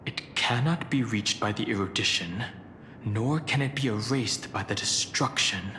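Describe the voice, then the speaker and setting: An actor playing a grave, contemplative, scholarly companion in a video game, speaking in a deliberate, portentous way. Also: male voice, on the low side, bright, forceful tone, slight background noise. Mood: solemn deduction. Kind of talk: dramatic video game voice acting.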